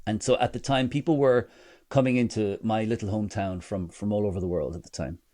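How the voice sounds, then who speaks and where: A man in his forties with an Irish accent speaks as a podcast guest in a lowish voice. He talks in an animated way, stopping to restart and repeat his words. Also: a little fast. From Ireland. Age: 40s. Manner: animated, many restarts and repetitions. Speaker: guest storyteller. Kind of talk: podcast interview.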